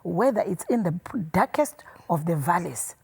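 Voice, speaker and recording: Woman, husky, earnest explainer. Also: on the low side, very animated, normal speed, darkish tone. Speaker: guest discussing a cultural saying. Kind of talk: explaining a proverb in conversation.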